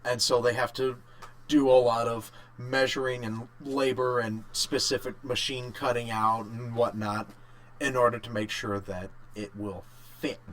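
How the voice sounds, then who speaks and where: Male podcast co-host, average pitch, informal and halting, explains things in short conversational bursts. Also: level tone, fairly bright tone. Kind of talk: casual chat about games and work.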